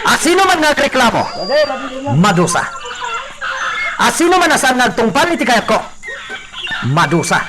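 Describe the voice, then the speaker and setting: An actor playing a cruel, domineering young master who gloats menacingly in a radio drama. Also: male voice, very high voice, very animated, normal speed, long pauses. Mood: commanding. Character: cruel young master lording over mine workers.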